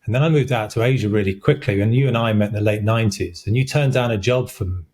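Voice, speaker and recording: A male recruiter speaks as a podcast guest in a low, slightly husky voice. He recounts his career steadily, with a touch of dry humor. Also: quick pace, a little mellow. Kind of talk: casual interview chat.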